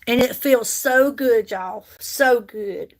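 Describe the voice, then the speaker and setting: Slow, high-voiced woman in her fifties. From United States. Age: 50s. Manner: chatty, reads and answers viewer comments, repeats herself for emphasis. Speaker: makeup livestream host. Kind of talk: casual livestream chat while doing makeup.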